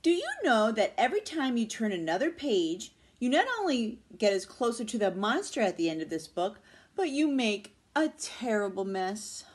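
A woman's voice: on the high side, very animated, moderate pace, slightly rough. A woman voicing a lovable, frightened male furry monster from a picture book, dramatic and pleading. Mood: exasperated. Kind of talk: children's picture book read-aloud.

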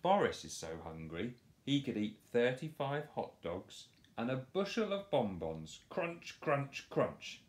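A man in his thirties reading a picture book aloud to children in a playful, expressive storytelling style. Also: mid-range voice, measured pace, hardly any pauses. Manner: animated, playful delivery. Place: small living room, on a sofa indoors. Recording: roomy home echo.

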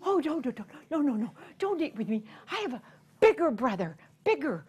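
A woman's voice: high-pitched, big pitch swings, a little fast, a little mellow. A woman voicing a nervous medium-sized billy goat who stutters.

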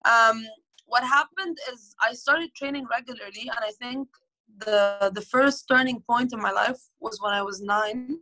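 A young woman from Egypt talking over a video call from home. Her voice is bright and forceful and a little high. She chats casually, stops and restarts often, and uses many fillers. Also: normal speed, poor audio. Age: 20s. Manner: chatty, with frequent fillers and restarts. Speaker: professional squash player, guest. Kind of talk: casual interview answers. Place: bright indoor room, at home. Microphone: phone or laptop camera on video call.

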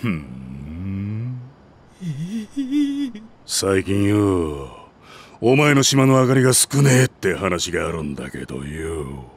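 An actor playing a menacing middle-aged alley-cat gang boss with drawling, intimidating gangster talk in a voice drama. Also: male voice, deep voice, very animated, slow pace, long pauses, breathy edge, exaggerated voice. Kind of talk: Japanese voice drama acting.

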